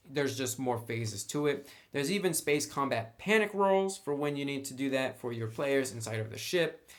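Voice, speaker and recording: A man's voice: mid-range voice, a bit bright. A man giving a casual, unscripted review of a tabletop game book, speaking in a conversational, opinionated way.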